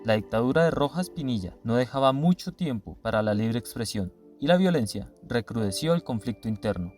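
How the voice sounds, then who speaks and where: A man's voice: medium pitch, animated, a little fast, a little mellow, faint music behind. A man reading scripted documentary narration.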